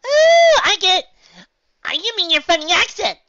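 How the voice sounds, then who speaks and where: A woman voicing a bouncy, silly, excitable young female cartoon pony who gushes and argues in a story reading. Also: high voice, a little fast, lo-fi sound, slight background noise, voice pushed up, exaggerated voice. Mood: excited. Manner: gushing, over-excited, argumentative.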